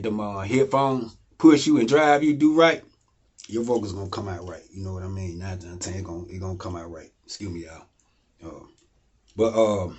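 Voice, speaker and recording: A man, casually explaining home studio gear in a loose, rambling way that keeps asking "you know what I mean?". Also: a little mellow. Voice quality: deep voice. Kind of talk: casual how-to talk.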